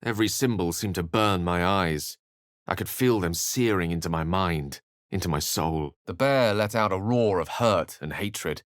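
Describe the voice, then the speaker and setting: A man's voice: low-pitched, moderate pace, smooth, no echo, studio quality, close to an everyday voice. An actor playing an eloquent, scholarly male wizard in a video game, articulate, theatrical and wry. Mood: grave, haunted. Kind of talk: video game voice acting, dramatic narration and dialogue.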